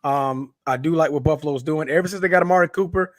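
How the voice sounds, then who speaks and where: A man co-hosting a sports podcast with a hoarse, raspy voice, chatting loosely with plenty of fillers. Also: on the high side, quick pace, dry recording, clean studio sound. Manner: relaxed, rambling with many fillers. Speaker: podcast co-host. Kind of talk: casual sports chat.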